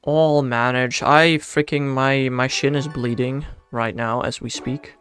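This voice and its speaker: A man's voice: medium pitch, fairly even intonation, unhurried, a bit bright. A young man from the Netherlands with a Dutch accent, chatting casually and slangily with his chat while streaming a game. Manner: laid-back and slangy, talks to himself. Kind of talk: casual livestream chat, answering chat while playing.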